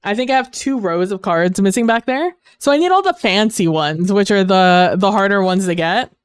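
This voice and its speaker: A woman streamer talks casually through a headset microphone, rambling along with plenty of fillers. Her voice is smooth and resonant, though she is losing it, and the sound is dry and close. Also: medium pitch, lively intonation, normal speed, dry recording. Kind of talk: casual gaming stream chat.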